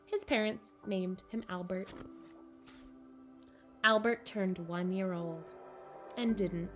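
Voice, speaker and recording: A woman reads a children's picture book aloud in a mid-pitched voice. Her phrasing is measured and deliberate, with pauses for drama, and music plays clearly behind her. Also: a bit bright. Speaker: storybook reader for children.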